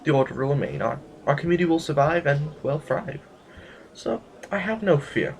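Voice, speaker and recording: A man playing a smug, haughty aristocrat in an audio drama, speaking formally with hesitant, deliberate pauses. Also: fairly high pitch, animated, creaky. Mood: self-assured. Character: smug, haughty male aristocrat needling a rival general.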